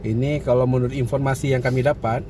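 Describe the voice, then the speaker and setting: Chatty food vlogger with street noise. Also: male voice, medium pitch, steady tone, quick pace, dark tone. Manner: chatty, asks many questions. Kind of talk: casual food vlog chat. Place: outdoor food stall by a street.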